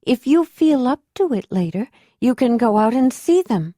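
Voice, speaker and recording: A woman's voice: sing-song, moderate pace, dark tone, dry recording, studio quality. A woman voicing a dutiful young acolyte daughter, earnest and eager. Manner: high, earnest, a bit breathless. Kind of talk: audiobook character voice.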